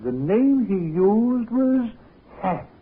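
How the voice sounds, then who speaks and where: A man's voice: high voice, big pitch swings, very slow, slightly rough, heavily acted. An actor playing a nervous, deferential male chemist who hesitates and stammers, in a 1950s radio drama. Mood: nervous, hesitant. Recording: thin, tinny 1950s radio recording.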